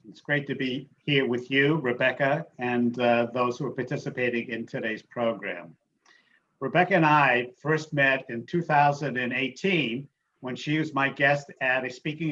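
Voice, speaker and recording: A man's voice: medium pitch, fairly bright tone. A man acting as moderator, introducing a guest speaker at an online event. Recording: dull, noisy online call audio.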